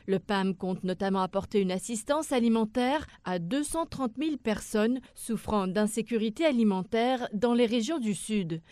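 Female reporter, mid-pitched, scripted news narration, dry studio sound. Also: darkish tone, dry recording.

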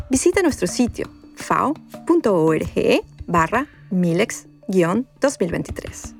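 A woman narrating a scripted documentary podcast as its host, with a measured, formal delivery. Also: on the low side, creaky.

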